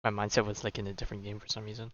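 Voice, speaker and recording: A man's voice: fairly low pitch, flat delivery, racing, fairly bright tone, muffled recording, slight background noise. A man giving casual live gameplay commentary, half muttering to himself. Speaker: game streamer.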